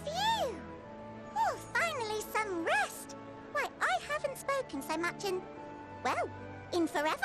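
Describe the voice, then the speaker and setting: A high, eager young scholar. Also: female voice, big pitch swings, a little slow, heavily acted. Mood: relieved. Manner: earnest and eager. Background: game soundtrack music.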